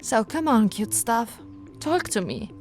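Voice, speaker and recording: A woman's voice: on the high side, sing-song, slow pace, darkish tone, faint music behind. A woman voicing a flirty but firm policewoman in an ASMR roleplay, with a teasing, coaxing, authoritative manner. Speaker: voice actor in roleplay audio.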